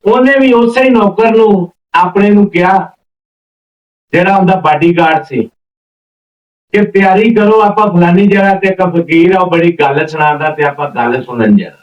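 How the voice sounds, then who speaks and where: A man's voice: high-pitched, moderate pace. A man telling a folk story informally and unhurriedly, repeating phrases as he links one event to the next. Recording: roomy, distant, slightly dull mic.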